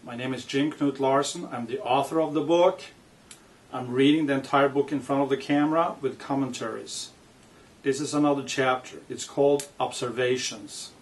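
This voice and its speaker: A man reading his own book aloud with commentary, speaking in deliberate, emphatic phrases broken by pauses. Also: medium pitch, steady tone, soft background music. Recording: distant microphone.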